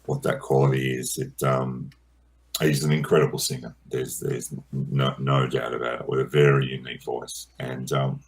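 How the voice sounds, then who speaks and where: A man's voice: a bass voice, little pitch movement, moderate pace, breathy edge. A man answering questions about his documentary as its director, in a hesitant way full of ums and repeated words. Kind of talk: interview answers.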